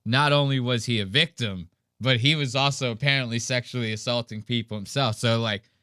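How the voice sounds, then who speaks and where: A man's voice: mid-range voice, fairly quick, bright, forceful tone, no room sound, studio quality. A man hosting a live stream with casual, profane, slangy and mocking reaction commentary.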